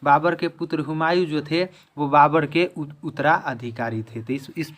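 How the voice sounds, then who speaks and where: A man's voice: a little high, moderate pace, fairly bright tone. A man giving a quiz-style history lesson as an exam-prep teacher, restating each question and answer.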